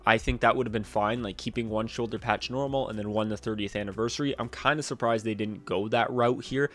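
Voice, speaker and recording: A man's voice: fairly low pitch, fairly even intonation, brisk, a bit bright. A young man in his twenties, a bit stuffed up from a cold, chatting opinionatedly about hockey jerseys into a desk mic in a small room. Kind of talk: casual vlog commentary. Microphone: desk mic on a boom arm.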